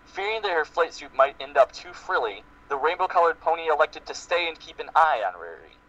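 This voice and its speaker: A man's voice: on the high side, expressive, fairly quick, fairly bright tone. A man giving an amateur dramatic reading of fan fiction as part of a group. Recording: muffled lo-fi voice-chat recording.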